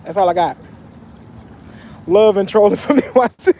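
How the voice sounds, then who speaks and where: A man's voice: high voice, moderate pace, warm tone, a little hiss or hum. A young man from Texas chatting casually on a phone livestream by a pool, reading out comments in a loose, slangy way. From Texas, USA. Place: outdoors by a pool.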